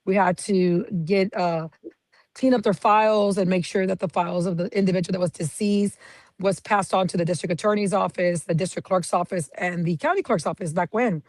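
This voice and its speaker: A woman in her fifties from San Antonio, Texas, a county clerk giving steady, detailed answers as a guest. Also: on the low side, fairly quick, dry recording. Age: 50s. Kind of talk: interview answers.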